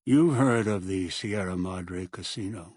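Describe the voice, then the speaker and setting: An actor voicing a bitter, obsessive old schemer in a video game, with a gravelly voice and an ominous, deliberate delivery. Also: male voice, low-pitched, darkish tone, no room sound, clean studio sound. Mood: ominous, brooding. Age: older. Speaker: video game villain and narrator. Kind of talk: dramatic video game voice acting.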